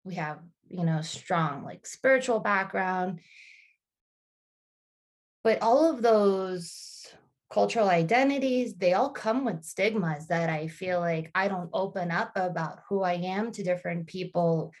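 A woman's voice: fairly low pitch, unhurried. A woman in her thirties, a podcast guest, speaking thoughtfully in casual conversation with frequent restarts and fillers.

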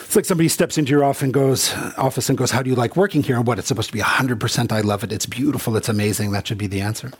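A man's voice: mid-range voice, racing, few pauses, husky. A middle-aged man from Congo who drives for a rideshare service, telling stories in an earnest, reflective monologue. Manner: earnest, reflective storytelling with quoted exchanges. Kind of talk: personal monologue.

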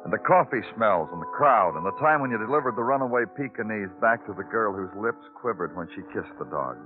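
An American man playing a world-weary police detective in old radio crime drama, narrating poetically and questioning tersely in a brooding, deliberate manner. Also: fairly low pitch, animated, lightly acted. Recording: tinny old radio broadcast, music behind.

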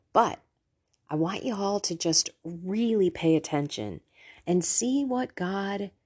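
A woman's voice: lowish voice, dark tone, husky. A woman with a scratchy voice from allergies, hosting a devotional podcast from her room, chatting earnestly before reading scripture. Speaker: podcast host.

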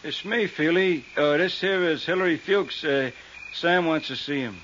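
A man's voice: fairly high pitch, normal speed, a bit bright, close to an everyday voice. Man acting a slangy hoodlum, hissy old radio. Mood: casual. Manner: slangy, swaggering henchman talk. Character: thin, tough-talking young hoodlum, cocky but easily cowed. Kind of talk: 1950s radio crime drama acting. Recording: hissy, muffled 1950s radio recording.

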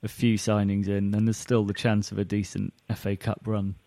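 Guy on a footy podcast, fairly deep, relaxed chat format, very little room sound. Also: normal speed, vocal fry, no room sound. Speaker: podcast panellist. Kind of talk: casual football chat.

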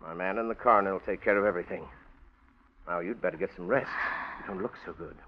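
An actor playing a casual, matter-of-fact police lieutenant who talks dryly, in 1940s radio acting, on a muffled old radio recording with faint hiss. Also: male voice, low voice, fast, lightly acted. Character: laconic male police lieutenant.

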